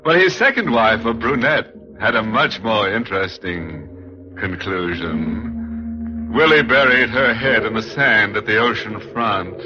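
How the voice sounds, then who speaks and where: An actor playing a ghoulish, darkly joking horror host, narrating theatrically in 1940s radio style. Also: male voice, on the low side, lively intonation. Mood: darkly amused. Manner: slow, theatrical, punning. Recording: muffled vintage radio, music behind.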